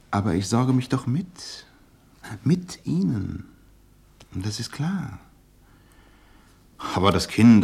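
An actor playing an effusive, ingratiating bachelor businessman in a German radio play, with a husky voice and a gushing, hesitant manner. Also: on the low side, a little mellow, full character voice. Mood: oily sympathy. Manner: gushing, hesitant, chuckling between phrases. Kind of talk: naturalistic German radio drama acting.

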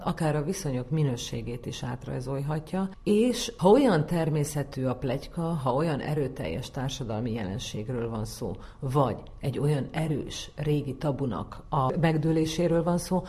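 A woman's voice: a bass voice, dark tone. A woman speaking as an academic expert, explaining ideas in long, thoughtful sentences. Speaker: social psychologist, interviewed expert.

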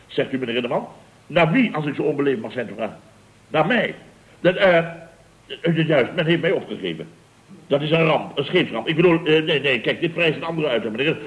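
Pompous, swooping, indignant boss. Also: male voice, a little high, a bit bright, rough recording. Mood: flustered. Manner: pompous, self-justifying, theatrical storytelling. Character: blustering, indignant male boss of a building firm. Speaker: lead actor in radio comedy serial. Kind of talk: 1970s Dutch radio comedy acting. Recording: vintage radio broadcast recording.

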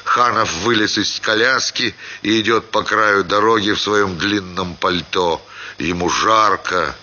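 Deep voiced guy narrating a classic story real slow, dramatic pauses, bit lo-fi. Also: steady tone, sharp tone. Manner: measured, with deliberate phrase-by-phrase pauses. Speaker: actor reading a literary story.

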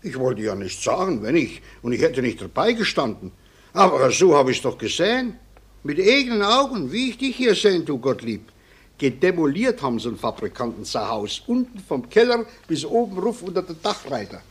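An actor playing a garrulous village gossip in a radio play, emphatic and rambling, with a husky dialect voice. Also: male voice, fairly high pitch, big pitch swings, normal speed. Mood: insistent. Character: garrulous male village gossip bringing news. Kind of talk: German radio play acting.

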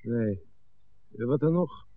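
A man's voice: mid-range voice, animated, slow. An actor playing an unsure male crook's sidekick who questions and defers, in a Dutch radio drama on an old recording. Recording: distant, narrow-band old radio recording.

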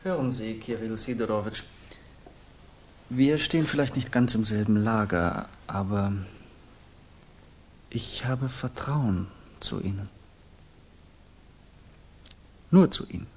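An actor playing a fervent young student revolutionary who confides in a deliberate, rhetorical way, in 1960s German radio drama style. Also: male voice, fairly low pitch, a little mellow, slight background noise, natural voice. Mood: urgent, confiding.

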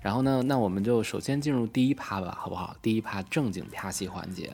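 A man's voice: on the low side, quick pace, gravelly, darkish tone, slightly rough. A man hosting a casual live event, explaining things politely. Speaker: host of a live fan event.

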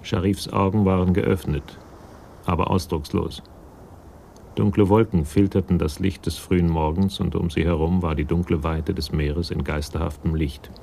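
A man with a gravelly voice reading scripted literary narration for a German radio crime drama. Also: deep voice, steady tone, moderate pace, mellow, a little hiss or hum.

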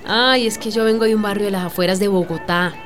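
A woman's voice: mid-range voice, fast, soft background music, some chatter behind, close to an everyday voice. Actress as a spirited young Colombian woman. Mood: sorrowful, earnest. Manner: animated, familiar, slangy. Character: lively, resilient young Colombian refugee woman selling vegetables. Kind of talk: radio drama dialogue.

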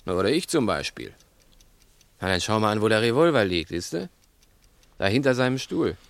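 An actor in a 1960s German radio play playing a cunning, domineering crook who is menacing, insistent and patronising. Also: male voice, lowish voice, very animated, normal speed, vocal fry, dry recording. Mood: agitated, mocking. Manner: insistent, theatrical, probing with rhetorical questions.

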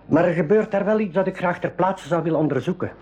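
An actor playing an affable, helpful game warden and guide in a school radio drama, speaking in a measured, explanatory way. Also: male voice, high voice, darkish tone. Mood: serious, explanatory.

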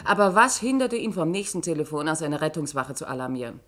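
A low-pitched, stern chief prosecutor in a radio play. She is incisive and insistent, building her arguments with precision. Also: big pitch swings, fast. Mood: sharp, indignant. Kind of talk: German radio play acting.

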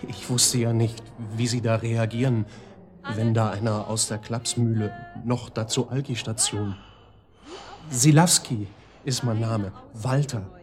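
An actor playing a talkative psychiatric-ward patient informing the police in a German radio drama, rambling and colloquial. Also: male voice, mid-range voice, steady tone, a little slow, lightly acted. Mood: hesitant, uneasy.

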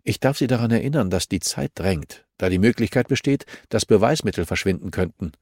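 A man's voice: lowish voice, animated, fairly quick, a little mellow, no echo, pitched above the performer's own voice. Guy reading as a businesslike woman detective, wry, insistent, close dry audiobook sound. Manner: matter-of-fact, politely insistent. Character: composed, businesslike female investigator, firm and wry.

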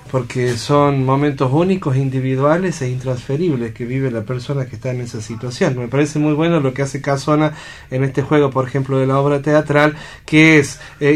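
An Argentine man reflecting aloud as a radio panelist, halting as he thinks and often checking for agreement. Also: medium pitch. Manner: thoughtful, halting, seeks agreement with tag questions. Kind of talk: reflective unscripted discussion.

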